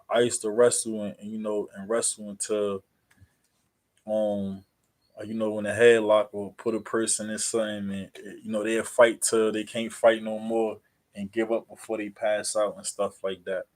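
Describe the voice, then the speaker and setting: A man with an American accent chatting casually as a livestream host, in a halting, repetitive, rambling way full of fillers. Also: on the low side, flat delivery, darkish tone. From New Jersey, USA.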